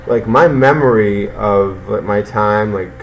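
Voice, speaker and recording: A man with a Boston accent hosting a call-in show, talking haltingly with many fillers. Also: on the low side, slight background noise, rough recording. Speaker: host. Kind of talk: casual call-in chat.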